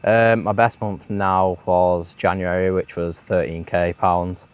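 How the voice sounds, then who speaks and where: A nineteen-year-old man answering interview questions in a hesitant, modest way, filling pauses with ums. His voice sits very low for a young man. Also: level tone, clean tone. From Manchester, England. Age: late teens. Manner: hesitant, lots of ums, laughs shyly. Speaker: interview guest, sales manager. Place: villa, indoors.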